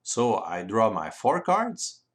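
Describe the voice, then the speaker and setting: Guy explaining how a board game works, step by step, pitch bouncing around a lot. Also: wildly swooping pitch. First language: French. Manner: step-by-step, deliberate, simple phrasing. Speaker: board game rules presenter. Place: voice-over recorded separately from the video.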